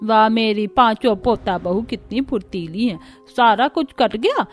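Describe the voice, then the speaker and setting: A woman's voice: a little high, expressive, unhurried. Woman putting on a bossy, scolding old grandmother. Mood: bossy, approving. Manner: commanding, exclamatory rustic scolding. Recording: music bed under the voice.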